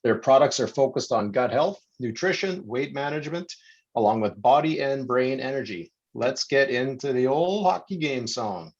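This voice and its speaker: A man presenting a promotional pitch in a scripted-sounding way. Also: lively intonation, moderate pace, husky, lo-fi sound.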